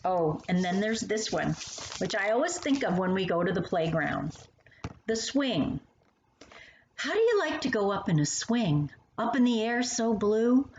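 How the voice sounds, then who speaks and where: A woman reading poems and stories aloud to young children in an expressive, animated manner, speaking directly to the listener. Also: medium pitch, a little slow. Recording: roomy, muffled sound with faint noise.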